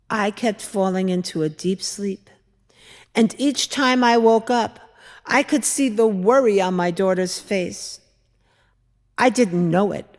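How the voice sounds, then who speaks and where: A woman playing an earnest biblical widow, a mother-in-law telling her story as a measured, expressive dramatic monologue with deliberate pauses. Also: medium pitch, close to an everyday voice. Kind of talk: dramatic monologue reading in church. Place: large church, indoors. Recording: echoey church hall, long reverb.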